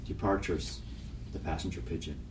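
A man giving a measured, scripted reading of documentary poetry. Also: extremely low pitch. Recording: distant mic, noisy background.

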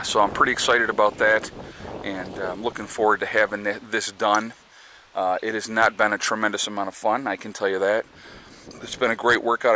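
Chatty man with a bright, forceful voice. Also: on the low side, slight background noise. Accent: American Northeast. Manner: rambling, chatty, with many fillers and restarts. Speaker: homesteading vlogger. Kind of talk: casual walk-and-talk vlog. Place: outdoors on a rural homestead.

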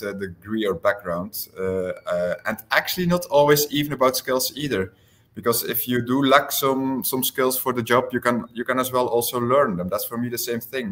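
A man in his thirties from Belgium answering conversationally as a panelist on a video call, halting and repeating words. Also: mid-range voice, a bit bright. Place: small indoor space on a video call.

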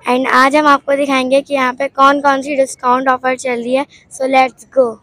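A woman's voice: high voice, level tone, moderate pace, a bit bright, no echo. A young woman co-presenting a mall vlog, speaking simple mixed English phrases. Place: large indoor shopping mall.

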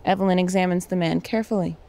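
A woman's voice: lowish voice, fairly even intonation, racing, dark tone. A woman reading scripted narration and stage directions for an audio drama.

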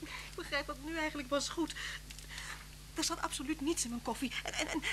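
A well-meaning, anxious young woman played by an actress in an old radio play. Her high voice is flustered and insistent, with faint noise behind it. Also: fairly quick, lightly acted. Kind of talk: radio drama acting. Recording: faintly noisy old radio recording with hum.

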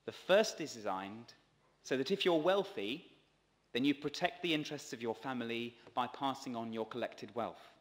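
A man in his thirties, a priest, delivers a scripted sermon in a measured, earnest way from a church pulpit. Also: mid-range voice, animated. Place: large stone church, at a pulpit. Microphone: gooseneck lectern microphone. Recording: long church reverb.

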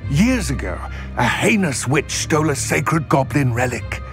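A man voicing a wary, elderly goblin trader in a video game, with measured, formal phrasing and a weathered voice. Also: fairly high pitch, big pitch swings, over music. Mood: guarded, measured. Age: older. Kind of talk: dramatic video game dialogue.

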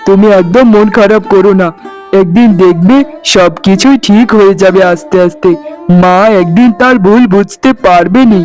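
A man acts out a young village husband in a cartoon drama. He sounds high for a man, earnest and coaxing, a devoted family type, with soft string music in the background. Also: moderate pace, natural voice, rough recording. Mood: reassuring. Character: doting young village husband and reassuring, dutiful son. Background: plucked-string music.

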